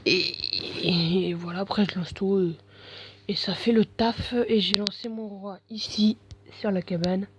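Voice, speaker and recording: A man's voice: high-pitched, steady tone, unhurried, slight background noise. A man with a bad head cold giving casual, mumbling commentary over gameplay, full of slang and fillers.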